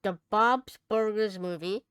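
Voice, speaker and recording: A woman co-hosting a casual podcast, chatting and reading out news items, somewhat halting when she reads aloud. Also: mid-range voice, a bit bright, smooth, no echo, clean studio sound. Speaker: podcast co-host.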